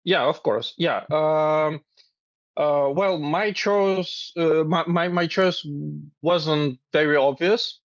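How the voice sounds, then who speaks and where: A man's voice: fairly high pitch, takes it slowly, no room sound, lo-fi sound. Hesitant young man from Belarus. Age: 20s. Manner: hesitant, many fillers, laughs lightly. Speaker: chess grandmaster and coach. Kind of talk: interview answers.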